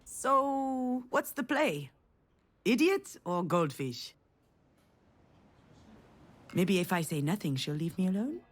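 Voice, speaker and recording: A woman's voice: mid-range voice, expressive, slow, pauses often. A woman playing a guarded, wry young failed screenwriter in a visual novel, with a dry, sardonic, confiding manner. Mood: sardonic musing. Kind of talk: visual novel voice acting with inner monologue.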